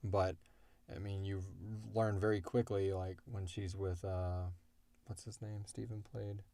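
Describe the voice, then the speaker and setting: A man with a deep voice and a creaky edge chats as a podcast co-host. He answers listener questions casually, wandering through explanations and joking along the way. The sound is dry, with little room in it. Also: level tone, unhurried, mellow, dry recording. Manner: rambling, joking explanations with acted-out quotes. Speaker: podcast co-host and creator of an audio drama, answering fan questions.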